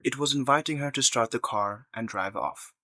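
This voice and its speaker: A man's voice: medium pitch, moderate pace, bright, forceful tone, no echo. A man narrating a scripted story in the third person as the narrator of an audio drama.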